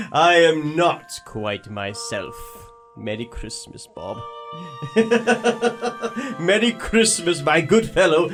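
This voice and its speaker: A man playing an elderly reformed miser in an audio drama, theatrical and exclamatory, a jolly old gentleman. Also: on the high side.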